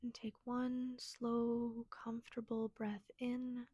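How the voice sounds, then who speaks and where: Slow, soft breathy woman guiding meditation. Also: fairly high pitch, monotone, no echo. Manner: gentle, soothing, soft breathy delivery. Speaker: meditation guide. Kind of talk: guided meditation.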